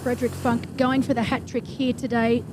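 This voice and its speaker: A woman with a bright, high voice calls a triathlon live, brisk and enthusiastic, with chatty asides. Engine and wind noise from the race can be heard clearly behind her. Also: little pitch movement. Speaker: race commentator. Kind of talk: live sports commentary.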